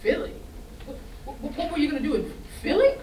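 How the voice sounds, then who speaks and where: A woman's voice: high voice, very animated, brisk. Young woman acting stern hurt mom scolding her son, voice swings up and down, stage play, echoey room. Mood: suspicious, hurt. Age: young adult. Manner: pointed, clipped questions, scolding. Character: stern, wounded mother confronting her wayward son. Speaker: drama student acting in a staged reading. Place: indoor staged reading before festival, distant mic. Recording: roomy, distant stage pickup, faint noise.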